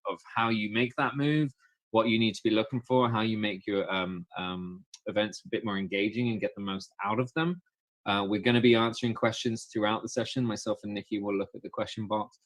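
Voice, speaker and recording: A man's voice: lowish voice, fairly bright tone, no room sound, low-quality recording. A man co-hosting a webinar, chatty and joking with many fillers. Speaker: co-host of webinar. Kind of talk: casual webinar presenting.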